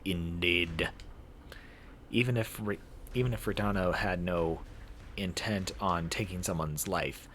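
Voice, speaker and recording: Very deep-voiced man reading a game aloud. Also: a little slow, pauses often, fairly bright tone. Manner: stumbles over words and rereads lines. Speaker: streamer reading a visual novel aloud. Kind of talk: live read-aloud narration of game text. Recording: clear background noise.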